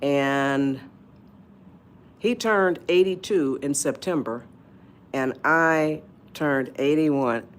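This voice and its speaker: Chatty eighty-one-year-old woman, unhurried. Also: low-pitched. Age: 80s. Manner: chatty, rambling, laughs often, greets viewers. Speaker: livestream host. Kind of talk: casual live-stream chat.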